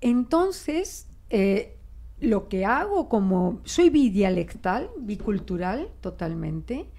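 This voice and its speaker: Older Spanish woman, slow gravelly speech. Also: medium pitch. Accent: Spanish from Spain, adapted toward Mexican Spanish. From Spain. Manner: expressive, reflective, with frequent restarts and gestures. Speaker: guest linguist. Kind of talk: conversational interview. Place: small studio office, indoors. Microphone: desk microphone.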